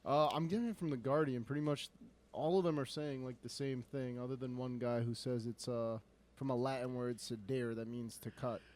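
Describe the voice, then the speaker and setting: Matter-of-fact young producer reading findings. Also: male voice, medium pitch, steady tone, normal speed, a little mellow. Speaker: podcast producer. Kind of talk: reading search results aloud.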